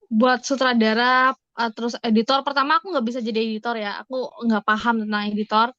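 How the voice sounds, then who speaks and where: A woman's voice: on the high side, steady tone, brisk, fairly bright tone, dry recording. An Indonesian woman chatting casually as a guest on a podcast. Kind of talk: casual chat. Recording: slightly dull, dry close sound.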